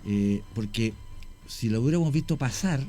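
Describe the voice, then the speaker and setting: A man, a radio guest slowly telling a paranormal story with many pauses. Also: medium pitch, expressive, mellow, soft background music. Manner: slow, halting storyteller. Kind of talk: radio talk show storytelling.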